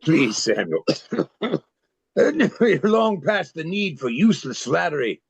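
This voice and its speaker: A man's voice: fairly high pitch, big pitch swings, takes it slowly, gravelly, husky, no echo, heavily acted. A man playing an ailing old general in a historical play reading, dry and formal in manner, on a slightly dull recording. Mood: teasing.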